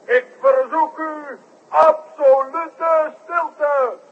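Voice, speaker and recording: A man's voice: extremely high pitch, fairly even intonation, drawn-out words, exaggerated voice. A man playing a grand circus ringmaster in a 1960s radio drama, announcing the acts in a formal, declamatory showman's style. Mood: grand, suspenseful. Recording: noisy muffled 1960s radio recording.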